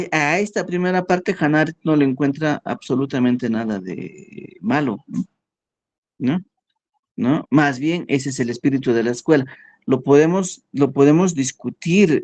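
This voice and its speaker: A man giving a philosophy workshop lecture, talking in a halting way and checking in with his listeners all the time. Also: medium pitch, a little mellow, lo-fi sound. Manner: slow, halting, with frequent "¿no?" and "¿ya?" checks.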